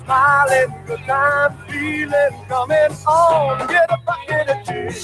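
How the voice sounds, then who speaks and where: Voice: sits high, level tone, a little slow. An upbeat, sing-along advertising jingle voice, urging listeners on cheerfully. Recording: advertising jingle with loud music.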